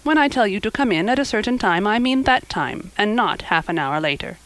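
A woman voicing a stern, practical older woman in a storybook, speaking in a brisk, scolding, matter-of-fact manner. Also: mid-range voice, expressive, fairly bright tone, no room sound, natural voice. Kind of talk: audiobook character acting.

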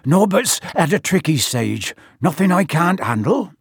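A man voicing a gruff, sentimental giant gamekeeper who dotes tearfully on his pet in a storybook reading. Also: on the high side, big pitch swings, measured pace, no room sound, clean studio sound, voice pushed up, full character voice. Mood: guarded, flustered. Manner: tearful, doting.

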